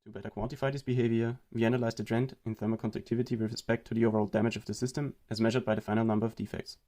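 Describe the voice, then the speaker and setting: A young man from Austria giving a read-aloud presentation of a student research project in a steady explanatory way. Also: on the low side, very fast.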